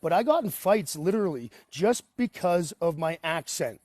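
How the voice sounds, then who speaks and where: A man in his forties with a Boston accent, calling into a talk show and rambling in an animated way with frequent restarts. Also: on the high side, normal speed, dry recording. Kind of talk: casual talk-show call.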